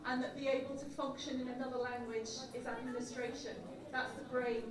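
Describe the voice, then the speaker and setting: A woman's voice: on the high side, level tone, a little fast. A woman giving a public speech at a community event, telling stories earnestly with frequent ums and uhs. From Ireland. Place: large echoey event hall. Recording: echoey hall from far off with crowd.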